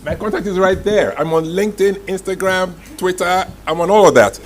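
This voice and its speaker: A man's voice: sits high, a little fast. A man answering audience questions at a live talk, direct and teasing, often checking in with "right?". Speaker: masterclass speaker. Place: indoor event room with audience. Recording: clearly noisy live room recording.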